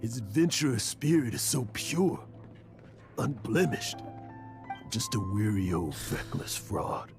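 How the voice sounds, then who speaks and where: An actor playing a weary, guilt-ridden old male adventurer in a video game, with an emotional, halting manner. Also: medium pitch, sing-song, gravelly, heavily acted. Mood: melancholy. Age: older. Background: game music.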